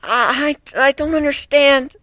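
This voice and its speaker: A man's voice: extremely high pitch, fairly even intonation, measured pace, pushed and bright, no echo, clean studio sound, voice pushed up, exaggerated voice. A man voicing a young female griffin on trial in a dramatic story reading, timid and defensive, with a hesitant, stammering delivery. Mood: nervous. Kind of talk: dramatic fan fiction reading.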